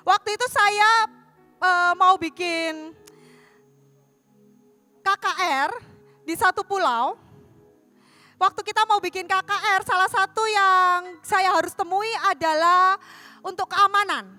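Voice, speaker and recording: High bright-voiced Javanese woman preaching. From Surabaya, East Java. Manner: lively, asks the audience questions, storytelling. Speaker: evangelist teaching a class. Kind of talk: church teaching talk.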